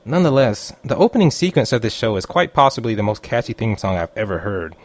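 A man's voice: on the low side, fast talker, dry recording. A man reading a scripted review as an online critic, in voice-over. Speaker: anime reviewer.

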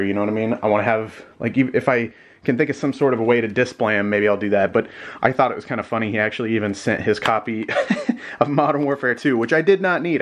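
A man casually chatting while unboxing packages from fans and gaming companies, grateful and rambling with asides, recorded indoors with the camera pointed at the floor. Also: mid-range voice. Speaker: gaming YouTuber unboxing fan mail.

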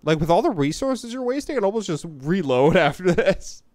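A man's voice: high-pitched, big pitch swings, normal speed, a little mellow, no echo. A man co-hosting a game stream, chatting casually with wry, sarcastic complaints. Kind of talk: casual gaming chat.

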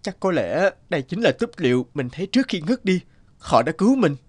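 A young mandarin from an animated folk tale. The voice sits high and moves expressively, performed theatrically with faint music underneath. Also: male voice, brisk. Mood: grateful. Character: arrogant young male mandarin, later humbled and contrite.

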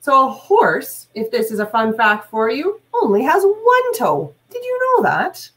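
A middle-aged woman teacher reading aloud to children, deliberate, adding explanations and questions for young listeners. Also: fairly high pitch, big pitch swings, fairly bright tone. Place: small room at home, indoors.

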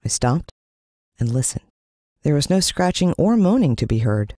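American woman, storybook narrator, lively varied intonation, dry close sound, scripted children's adventure. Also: deep voice, normal speed, no room sound. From United States. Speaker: audiobook narrator.

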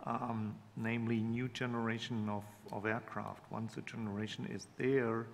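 Middle-aged man, panelist, halting, repeats words, hall echo, faint noise. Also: fairly low pitch, little pitch movement, creaky. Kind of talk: panel discussion. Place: large conference hall. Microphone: table microphone.

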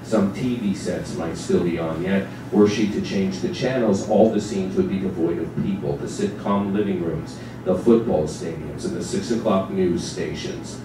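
Echoey, very low-voiced man reading dramatically. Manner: measured, deliberate, literary delivery. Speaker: narrator in a staged dramatic reading. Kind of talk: dramatic scripted narration. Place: small theatre stage with seated audience. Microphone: distant camera microphone. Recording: echoey stage recording from far off, noisy.

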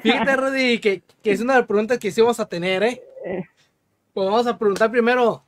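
Guy interviewer w a really high voice, chatty, bit of noise on the audio. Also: a little fast. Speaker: interviewer, host. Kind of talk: casual interview chat.

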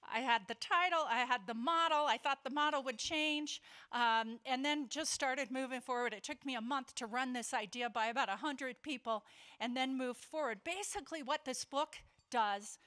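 An older woman giving a conference keynote speech, earnest and emphatic, slowing down to stress key points. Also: high voice, sharp tone. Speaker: keynote speaker. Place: convention hall.

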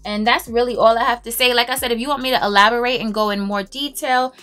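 A woman's voice: a little high, steady tone, quick pace, pushed and bright, faint music behind. A woman, chatting casually and emphatically while doing her makeup in a home closet. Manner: chatty, emphatic, self-assured, laughs often. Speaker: beauty vlogger. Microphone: camera on a stand, close.

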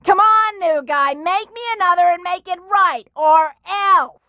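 Piercing, very high-pitched irate waitress. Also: female voice, a little slow, dry recording, lo-fi sound. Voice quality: loud, piercing. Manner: demanding, scolding, sarcastic. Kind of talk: video game character dialogue.

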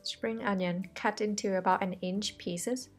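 A woman's voice: mid-range voice, steady tone, a little slow, over loud music. A woman, the host of a cooking channel, talking through a recipe step by step in a friendly, reassuring voice-over. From Thailand.

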